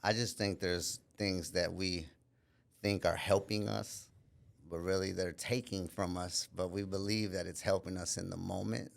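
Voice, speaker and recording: A man's voice: low voice, vocal fry. A man from Minneapolis talking as a podcast guest, telling his life story candidly and reflectively, often adding "you know". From Minneapolis, USA. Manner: pauses and restarts mid-thought. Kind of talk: casual life-story interview.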